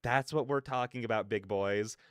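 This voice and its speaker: A man's voice: fairly low pitch, moderate pace, a bit bright, dry recording. A man livestreaming in a casual, rambling way, often interrupting himself with slangy asides into a close microphone. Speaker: livestreamer, content creator. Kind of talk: casual livestream rant and chat.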